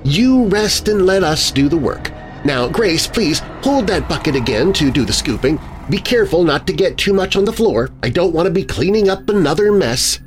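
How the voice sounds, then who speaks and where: A man's voice: on the high side, lively intonation, moderate pace, soft background music, voice pushed up, close to an everyday voice. A man voicing a coldly deranged wife who soothes and sneers with hissing menace in a horror story. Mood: calm menace.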